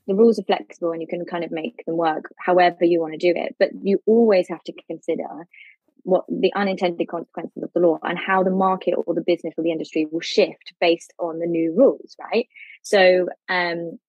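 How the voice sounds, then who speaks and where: A woman's voice: lowish voice, steady tone, fairly quick, slightly rough, dry recording, highs cut off. A woman legal expert on a podcast, thinking aloud as she explains the law, with frequent restarts. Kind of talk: podcast discussion.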